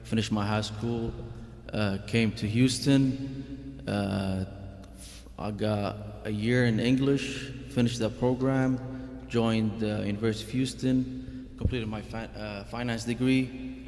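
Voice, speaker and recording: A man from Yemen introduces himself in a low, flat voice. He keeps it brief and matter-of-fact, and his voice carries a long reverb. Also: a little hiss or hum. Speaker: police officer introducing himself. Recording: reverberant hall.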